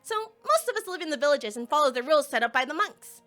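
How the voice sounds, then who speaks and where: A perky young village girl for a cartoon fan dub, acted by a woman, with a very high, bright voice. She is bubbly and slangy, swinging freely in pitch with playful, feisty eagerness. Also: big pitch swings, fast, faint music behind, exaggerated voice. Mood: chatty explaining. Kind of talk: animated fan dub acting.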